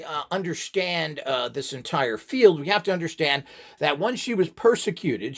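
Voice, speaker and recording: Man, slightly high pitch, gravelly fry, informal instructor, rambling lecture, emphatic, opinionated. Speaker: instructor in radionics.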